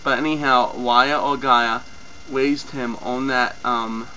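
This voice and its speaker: Slow-talking young man with a slight cold. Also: medium pitch, steady tone, bright, forceful tone, highs cut off. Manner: unscripted, many ums, self-corrects. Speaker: mythology video host. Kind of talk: casual informal explainer. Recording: faint mains hum.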